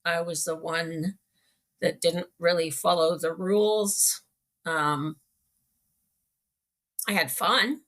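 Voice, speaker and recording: A middle-aged woman with a Canadian accent, telling her own story casually as an interview guest, pausing to think. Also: on the low side, steady tone, fairly bright tone. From small-town Manitoba, Canada.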